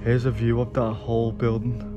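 A man's voice: medium pitch, completely flat delivery, moderate pace, lo-fi sound, over music. A young man talking casually as an exploring vlogger outdoors at night. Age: young adult. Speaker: vlogger and paranormal investigator. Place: outdoors at night near abandoned building.

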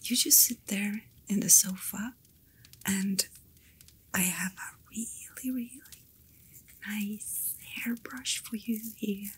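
A woman voicing a kind, attentive fantasy innkeeper in an ASMR roleplay, speaking in a soft, soothing whisper. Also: mid-range voice, slow, pauses often, a little hiss or hum, lightly acted, rough recording. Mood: gentle, soothing.

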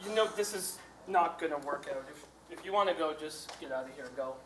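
A man playing a jilted, boastful survivalist husband in a comedy stage sketch, halting and repeating himself as he clings and bristles. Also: sits high, lightly acted. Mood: wounded. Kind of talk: live two-person comedy stage sketch. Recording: echoey stage, distant mic, faint noise.

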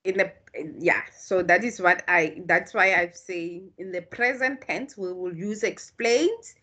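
A woman's voice: lowish voice, pushed and bright. A South African woman whose first language is Tswana, patiently explaining statistics in an online tutorial. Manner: slow, patient explaining with restarts. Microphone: video call.

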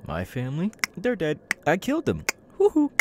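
A man's voice: on the high side, wildly swooping pitch, moderate pace, noisy background, voice pushed up. A man playing an unhinged young killer with tics and a stutter, stammering, muttering and yelping out sudden words in an ASMR audio roleplay. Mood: manic, unhinged. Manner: stammering, sudden yelped outbursts, muttered asides.

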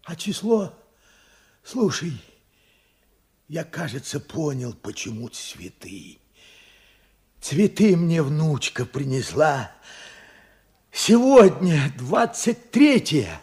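A man's voice: fairly high pitch, big pitch swings, a little mellow, slightly rough, full character voice. An actor playing an old grandfather and war veteran in a Soviet radio play, tender, fervent and dramatic. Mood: dawning realization. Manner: dramatic, fervent, halting delivery. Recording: vintage radio play with music underneath.

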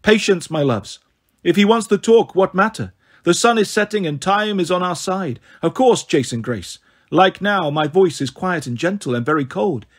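A man's voice: high-pitched, lively intonation, moderate pace. Man voicing a silky, cold, haughty snow goddess. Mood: smug, patient. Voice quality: quiet, gentle, purring, very cold. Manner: silky, taunting, condescending. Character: beautiful cold snow goddess, haughty, sadistically smug villainess.

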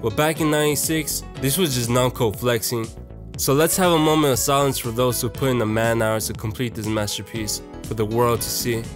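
A man's voice: medium pitch, steady tone, fairly quick. A young man narrating a casual, opinionated video essay in a slangy, profane and irreverent manner. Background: music.

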